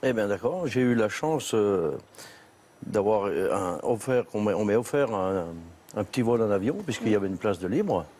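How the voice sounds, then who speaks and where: A man from Valais with a Swiss French accent, telling his story haltingly as a guest on a TV talk show. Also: on the low side, lively intonation, warm tone. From Switzerland, Valais. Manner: halting, restarts with many fillers. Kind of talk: studio TV talk show interview. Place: TV studio set.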